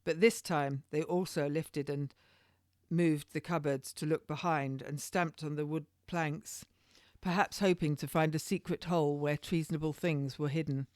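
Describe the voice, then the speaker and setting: A woman's voice: low-pitched, fairly even intonation, normal speed, darkish tone, no echo, clean studio sound. A woman author narrating her own historical novel in the first person, an amateur reader who occasionally stumbles over a word. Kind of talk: scripted first-person storytelling.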